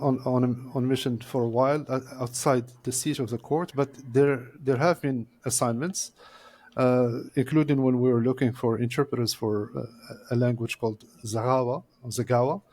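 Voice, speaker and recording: Interpreter guy walking through how his job works, mid-pitched voice, lots of uh pauses. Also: fairly even intonation, darkish tone. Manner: measured, explaining step by step with frequent "uh" pauses. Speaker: court interpreter, guest. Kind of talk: explanatory interview answers.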